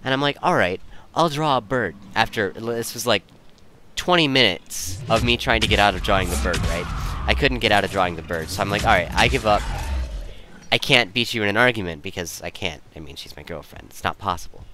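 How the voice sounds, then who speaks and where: A man's voice: lowish voice, lively intonation, a little fast, bright, forceful tone, slight background noise. A young man giving rambling, casual commentary in an easygoing, self-deprecating, digressive way. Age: young adult. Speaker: video creator and commentator.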